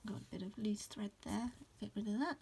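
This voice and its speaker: A woman in her thirties with a croaky voice from hay fever, casually talking through an embroidery tutorial at home, full of ums and restarts. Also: mid-range voice, a little fast. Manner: relaxed, many fillers and restarts. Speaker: embroidery teacher. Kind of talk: casual stitch-along tutorial. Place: upstairs room at home.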